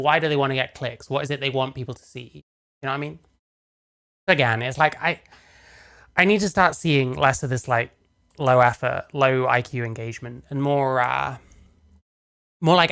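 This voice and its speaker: A man's voice: mid-range voice, vocal fry, fairly bright tone. A man doing casual live stream commentary, talking in a rambling, sarcastic, sweary way. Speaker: streamer reacting to a video.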